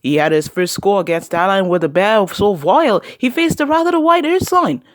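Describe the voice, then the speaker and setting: A woman's voice: mid-range voice, animated, normal speed, dry recording, clean studio sound, natural voice. A woman playing a chirpy, giggly field interviewer, giving a playful scripted sports report. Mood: cheerful. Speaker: on-scene interviewer.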